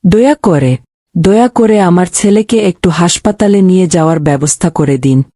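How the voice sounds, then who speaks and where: Cartoon ghost story: weeping, pleading mother, played by a woman, soft dark voice, lowish pitch. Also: moderate pace, dry recording, studio quality, close to an everyday voice. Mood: tearful pleading. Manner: pleading, tearful. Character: distressed weeping young mother pleading for help. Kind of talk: animated ghost story acting.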